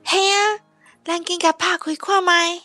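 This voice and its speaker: Bright, high-voiced bossy older sister. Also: female voice, fairly even intonation, a little slow, soft background music, exaggerated voice. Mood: delighted. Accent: Taiwanese. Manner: childlike, teasing. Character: young girl, bossy playful older sister. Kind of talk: children's radio drama acting.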